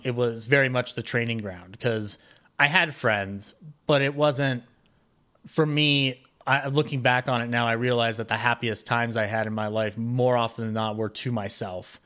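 A man speaking as a podcast guest, reminiscing casually in a rambling, halting way that often circles back mid-sentence. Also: medium pitch, a bit bright. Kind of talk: casual conversational interview, reminiscing.

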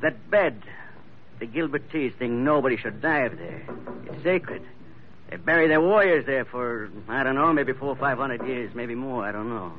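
An actor playing a ruthless, scheming pearl poacher in 1940s radio acting style, talking low and menacing. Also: male voice, normal speed, lightly acted. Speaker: pearler Labrado. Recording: dull old radio broadcast, faint hiss.

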